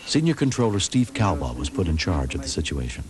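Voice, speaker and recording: A man's voice: rumbling low, sing-song, a little fast, heavy background noise. A man narrating a documentary in a scripted, dramatic style. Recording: music behind the voice. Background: music.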